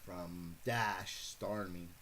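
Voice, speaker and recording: A man hosting a casual live stream and calling horse races, rambling and correcting himself as he goes, then getting excited at the finish. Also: deep voice, very slow, slight background noise. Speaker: host calling horse races for a fantasy contest.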